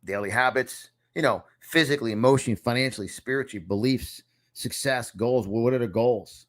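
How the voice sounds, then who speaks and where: A man's voice: mid-range voice, fairly quick, a bit bright. Emphatic man in his fifties with a New York accent. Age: 50s. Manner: emphatic, repeats phrases for effect. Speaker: success coach. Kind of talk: motivational lesson to camera. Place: small home studio in a condo.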